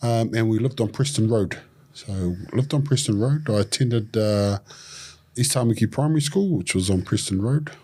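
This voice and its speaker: Samoan-born man with a low baritone. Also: moderate pace, husky. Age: 40s. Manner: relaxed, joking, with fillers and restarts. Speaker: podcast guest. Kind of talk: casual interview.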